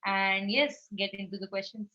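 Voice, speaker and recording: Woman attendee, bright tone, muffled video-call audio, quiet with hesitant fillers. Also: medium pitch, little pitch movement, moderate pace. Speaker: webinar attendee. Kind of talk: self-introduction in a webinar.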